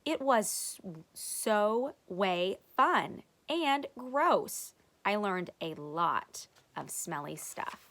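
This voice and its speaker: Lady doing a cocky excitable little boy from a storybook, voice bouncing all over, emphatic. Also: medium pitch, very slow, exaggerated voice. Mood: excited. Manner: eager, boastful, emphatic. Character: boastful excitable young boy proud of his nose.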